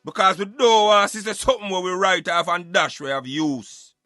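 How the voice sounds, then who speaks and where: A man's voice: high-pitched, normal speed, pushed and bright, no echo, studio quality, soft background music. A Jamaican man giving emphatic, opinionated social commentary in Patois, like a street philosopher preaching on a radio show.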